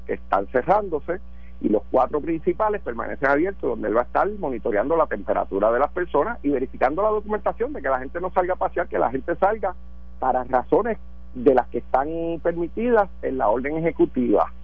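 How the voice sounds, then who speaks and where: A man's voice: mid-range voice, husky, dry recording. A man, a government transportation secretary explaining policy on a radio phone interview, with many fillers. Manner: explanatory, full of verbal fillers. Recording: tinny dry phone line.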